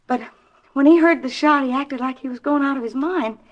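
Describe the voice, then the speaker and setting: An actress playing an anxious, pleading wife in a 1950s radio drama, speaking hesitantly and earnestly. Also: female voice, high-pitched, brisk, close to an everyday voice. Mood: anxious, insistent. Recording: dull vintage 1950s radio recording.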